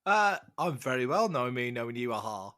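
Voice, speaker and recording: A man's voice: mid-range voice, very animated, brisk, sharp tone. A man guesting on a podcast who is getting over a cold, sounding bunged up, chatting and telling anecdotes in a self-deprecating way. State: congested from a cold. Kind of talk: casual podcast chat.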